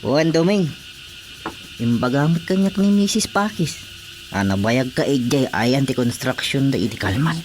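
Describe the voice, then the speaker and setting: An actress playing a touchy, defensive village councilor in a radio comedy drama, scolding and interjecting in an Ilocano accent. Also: female voice, a bass voice, expressive, moderate pace, a little mellow, slight background noise. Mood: needling.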